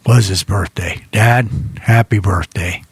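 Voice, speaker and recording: A man's voice: lowish voice, slow pace, husky, dry recording. A man hosting a casual radio show, chatting in a folksy, rambling way and often repeating himself.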